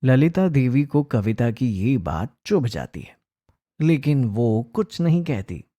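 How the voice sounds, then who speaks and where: Male narrator for scripted family tales; mellow tone, unhurried delivery. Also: medium pitch, very animated.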